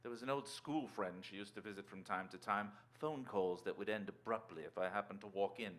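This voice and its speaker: An actor playing a suave, calculating former tennis star in a radio drama, with an affable, glib, urbane manner. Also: male voice, lowish voice, fairly quick, fairly bright tone, natural voice. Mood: smooth, confiding. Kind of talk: stage radio-drama acting.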